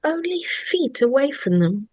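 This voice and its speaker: A woman narrating a horror screenplay in a dramatic, deliberate way, building suspense in short phrases. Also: sits high, very animated, no echo, poor audio.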